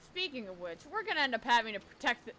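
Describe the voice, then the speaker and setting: A woman's voice: on the high side, sing-song, fast, fairly bright tone, a little hiss or hum. A woman giving casual, blunt, sweary let's-play commentary as a gaming streamer.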